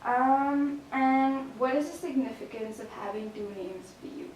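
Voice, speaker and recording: A woman's voice: high voice, measured pace. A young woman acting as a student interviewer, asking questions in a casual, conversational way. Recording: very echoey, distant microphone, faint noise.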